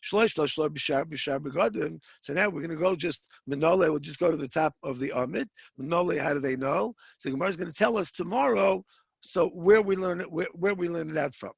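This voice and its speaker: A middle-aged rabbi gives an informal Talmud lesson in a somewhat high, gravelly and husky voice. He works through the text step by step, restarting phrases often. The sound is dry and close. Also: brisk, no room sound, dull audio. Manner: explains step by step, many restarts, Hebrew and Aramaic terms mixed in. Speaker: rabbi teaching a Talmud class.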